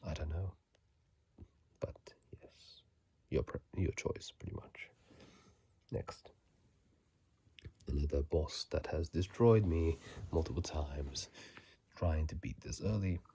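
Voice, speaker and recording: Man, soft ASMR whisper, dark mellow tone, very slow and unhurried, close dry phone recording, casual gaming commentary. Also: very deep voice, husky, dry recording. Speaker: ASMR video creator. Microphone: phone mic, close up.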